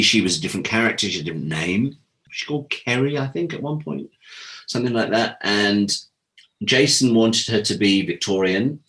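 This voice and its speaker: A British man chatting as an interview guest, telling anecdotes in a casual, animated way and acting out bits of dialogue. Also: lowish voice, rough recording. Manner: animated storyteller, acts out quoted dialogue. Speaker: interview guest, former audio drama producer.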